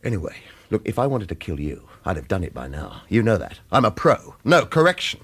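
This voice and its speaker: Radio thriller lead: weary former hitman, earnest and persuasive, husky voice with very lively pitch movement. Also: brisk, exaggerated voice. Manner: urgent, insistent, pleading in dialogue, hushed in narration. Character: weary former hitman, earnest, pressured, persuasive, narrating his own story. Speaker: actor playing the lead and narrator. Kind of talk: radio thriller acting with first-person narration.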